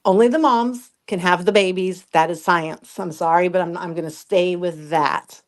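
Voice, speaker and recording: A woman's voice: lowish voice, steady tone, moderate pace. A woman co-hosting a casual podcast, blunt and cussing, often laughing at herself. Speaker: podcast co-host. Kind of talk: casual opinionated chat.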